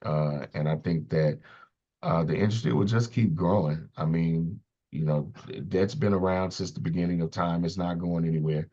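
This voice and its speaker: Very deep-voiced man guest, polite and easygoing, on dull lo-fi audio. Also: moderate pace, mellow. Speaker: guest, collections director. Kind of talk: casual interview chat.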